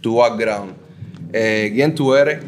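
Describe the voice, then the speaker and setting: A man's voice: fairly low pitch, slow, slight background noise. Teasing man hosting a bar podcast. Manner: playful, teasing, repeats himself, lots of slang. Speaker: podcast host. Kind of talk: casual interview over drinks.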